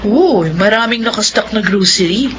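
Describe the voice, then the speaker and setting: A woman's voice: mid-range voice, lively intonation, moderate pace, highs cut off, close to an everyday voice. A woman playing a caring, emotional elder sister back from working overseas, in a Filipino radio drama. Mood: overjoyed, tearful. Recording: radio drama with noisy ambience behind.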